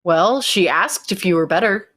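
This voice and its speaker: A woman's voice: lowish voice, moderate pace, fairly bright tone. A woman playing an easygoing young man, a former pilot and brother, in a sci-fi radio play. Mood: easygoing, persuasive.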